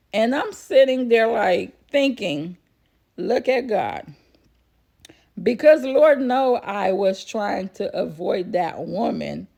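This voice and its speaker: Slow-paced chatty woman vlogger. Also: a little high, gravelly, darkish tone. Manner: chatty, repeats phrases for emphasis. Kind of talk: casual personal storytelling to viewers.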